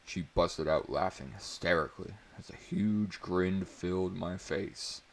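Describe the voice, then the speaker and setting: Guy reading a story out loud all dramatic, really low voice with lots of fry. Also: unhurried. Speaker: narrator. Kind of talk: dramatic audiobook-style narration.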